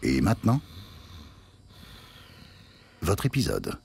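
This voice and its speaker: A man's voice: a bass voice, huge pitch swings, slow, vocal fry, darkish tone, slight background noise. A man announcing a radio series in a scripted intro style. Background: music.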